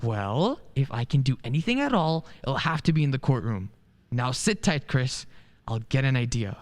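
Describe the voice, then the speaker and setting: A woman plays a well-meaning male lawyer in a radio drama, earnest and loyal. The delivery swings widely in pitch, in a reverberant hall. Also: sing-song, moderate pace, a little mellow. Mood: worried, resolving. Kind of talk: radio play acting. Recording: echoey hall.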